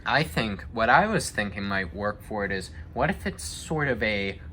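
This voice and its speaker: A man's voice: on the low side, expressive, normal speed, sharp tone, slight background noise. A young man chatting casually and giving his opinions, thinking aloud as he goes. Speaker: discussion host. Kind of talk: casual chat about video game movies.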